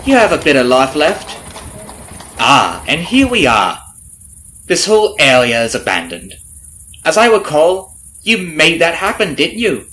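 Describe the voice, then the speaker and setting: A man's voice: mid-range voice, pushed and bright, rough recording. A man playing a vengeful carriage driver in an ASMR roleplay, taunting and conversational, with a friendly surface over cold menace. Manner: taunting, conversational with rhetorical tag questions. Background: clip-clopping horse and carriage ambience.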